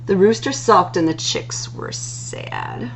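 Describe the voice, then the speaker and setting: A woman reading rhyming picture books aloud as a storytime host, in an expressive, singsong delivery with drawn-out words. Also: medium pitch, creaky, slight background noise.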